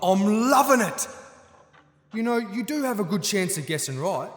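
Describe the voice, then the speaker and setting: A man's voice: high-pitched, big pitch swings, normal speed. An actor playing a gleefully cruel nome king in a fantasy audio drama, with a mocking, theatrical delivery. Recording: long hall reverb, music underneath.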